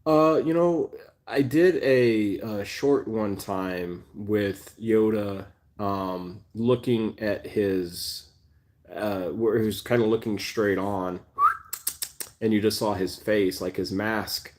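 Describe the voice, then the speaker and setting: A man in his forties hosts a relaxed livestream, reading out chat and answering in a low, easygoing voice. He rambles and restarts often, stuffing in fillers. Between comments he turns to fuss over his cats. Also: slow pace. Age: 40s. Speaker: cat channel livestream host.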